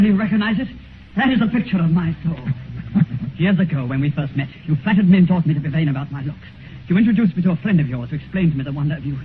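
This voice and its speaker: An actor playing a vain, corrupt, ageless English gentleman in a theatrical, taunting manner in a 1950s radio drama. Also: on the high side, close to an everyday voice. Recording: noisy, muffled 1950s radio recording.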